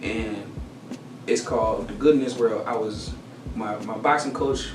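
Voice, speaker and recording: Give me an animated, slangy man telling stories as an interview guest, recorded from afar in a roomy space. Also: fairly low pitch, a little slow. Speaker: guest songwriter. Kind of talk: casual interview storytelling. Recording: echoey room, distant mic, noisy.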